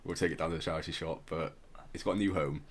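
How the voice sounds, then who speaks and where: A man with a low-pitched voice hosts a casual podcast. He explains things conversationally, rambling a little and softening his points as he goes. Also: steady tone, fast. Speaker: podcast host.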